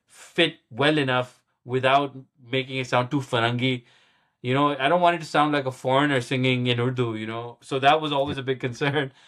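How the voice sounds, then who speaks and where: Halting middle-aged man telling stories. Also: medium pitch, fairly bright tone. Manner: halting, many restarts and repeated words; chuckles at himself often. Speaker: guest musician. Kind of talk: casual interview storytelling.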